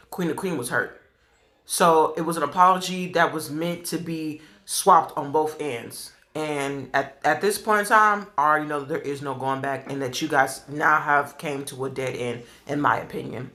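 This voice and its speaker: Blunt scolding man with a bright voice. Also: on the high side, moderate pace. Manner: blunt, scolding, repeats points. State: stuffy nose. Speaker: food vlogger. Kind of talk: casual eating-show commentary.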